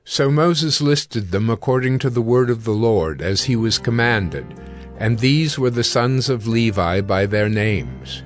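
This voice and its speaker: A man reads scripture as the narrator of an audio drama, with a mid-pitched voice. His delivery is measured and formal, and music plays behind him. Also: expressive. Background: background music.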